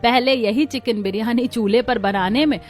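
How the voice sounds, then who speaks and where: A woman voicing homely village women of one family in a cartoon story, with expressive talk. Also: a little high, normal speed, faint music behind, lightly acted. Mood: reflective. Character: village women of a family, daughters-in-law and mother-in-law. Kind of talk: Hindi cartoon story dialogue.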